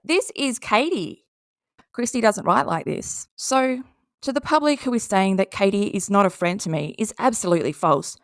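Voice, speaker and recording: A woman's voice: medium pitch, no room sound. Blunt, mocking Australian woman, dry close sound. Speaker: commentary video host. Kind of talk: casual opinion commentary, reading posts aloud.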